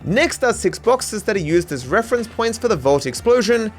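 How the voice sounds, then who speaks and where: A man's voice: sits high, big pitch swings, normal speed, faint music behind. A man with an Australian accent narrating a scripted comedic video essay with dry, mock-serious sarcasm.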